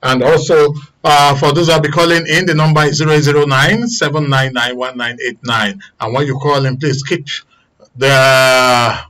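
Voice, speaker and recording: A man's voice: mid-range voice, fairly even intonation, moderate pace, pushed and bright, rough recording. A man with a Nigerian accent hosting a live radio phone-in, speaking in a courteous, formal way. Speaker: radio host. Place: radio studio.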